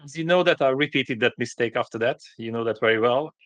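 A man with a Hungarian accent speaks as a podcast guest in a dry recording with little room sound. His pitch is average, and he tells his story plainly in short, direct sentences. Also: quick pace, a bit bright, no echo, dull audio. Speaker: podcast guest, company founder. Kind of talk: conversational interview storytelling.

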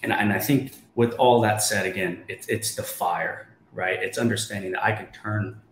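A man's voice: on the low side, steady tone, moderate pace. A man in his twenties, a guest on a podcast, telling his story in an emphatic, motivational way and often asking rhetorical questions. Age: 20s. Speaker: guest, real estate investor. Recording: echoey room, faint background noise.

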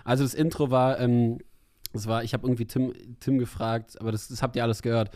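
A man's voice: mid-range voice, steady tone, brisk, a little mellow, no echo, studio quality. A young man co-hosting a casual podcast, rambling with lots of fillers and frequent laughter. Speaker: podcast co-host. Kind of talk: casual chat. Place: in Paris, remote from co-host.

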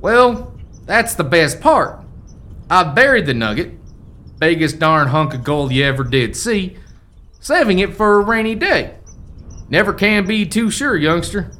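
An actor playing a folksy, forgetful old American rancher's ghost in a family radio play, with rustic old-timer phrasing. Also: male voice, high-pitched, big pitch swings, unhurried, sharp tone, heavily acted. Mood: proud, reminiscing. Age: older.

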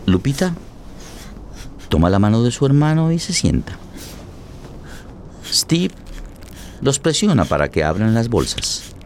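An actor playing a grave, solemn narrator in a tragic radio play, with deliberate phrasing. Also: male voice, mid-range voice, very animated, a little mellow, slight background noise. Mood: grave, tense.

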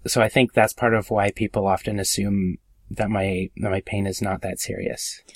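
A man co-hosting a podcast in casual conversation, speaking somewhat haltingly and repeating himself. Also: fairly low pitch, little pitch movement, no room sound. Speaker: podcast co-host.

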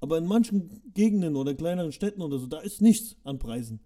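A young man co-hosting a podcast, speaking in relaxed slangy talk that turns animated as he explains. His voice is dark and mellow and a touch higher than most men's. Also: fast talker. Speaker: podcast co-host. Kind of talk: casual chat.

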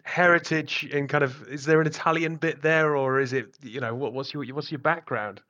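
A British man hosting a podcast interview, relaxed and chatty, often starting with "look". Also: on the high side, moderate pace, lo-fi sound. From Britain. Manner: relaxed, leans on "look" and "kind of". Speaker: podcast host.

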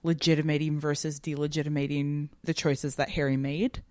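A woman with a notably low voice speaks as a podcast co-host in a very dry acoustic. She reasons analytically and conversationally, thinking aloud with frequent "like" and checking in with "right?". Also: level tone, a little fast, a little mellow, dry recording. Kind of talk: conversational literary discussion.

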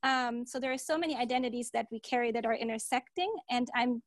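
A woman in her thirties from Germany with a German accent, chatting casually as a podcast co-host, thoughtful and hesitant with many pauses. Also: a little high, no echo, studio quality. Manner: thoughtful, hesitant with many ums, laughs at herself. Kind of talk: casual chat.

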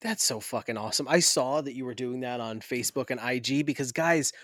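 A man's voice: medium pitch, a little fast, fairly bright tone, no echo, clean studio sound. Crude, laughing male podcast co-host. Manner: crude, self-mocking, laughing. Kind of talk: casual podcast banter.

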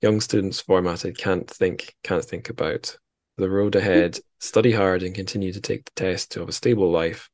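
A man's voice: low-pitched, steady tone, moderate pace, a little mellow, no room sound. A man hosting a podcast in casual conversation, informal and a little self-deprecating. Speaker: podcast host.

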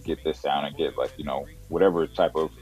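A man with a very deep voice, talking casually as an interview guest about his music, full of "you know" and "like". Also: fairly even intonation, brisk, a little mellow, breathy edge. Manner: rambling, filler-heavy with "you know" and "like".

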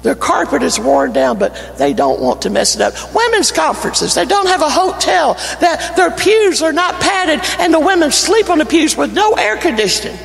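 A man with a North Carolina Southern accent, an evangelist praying and preaching a fervent sermon that builds to shouting in a church. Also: very high voice, sing-song, moderate pace, creaky, hardly any pauses. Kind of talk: sermon with opening prayer. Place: church sanctuary. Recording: church hall reverb, faint music.

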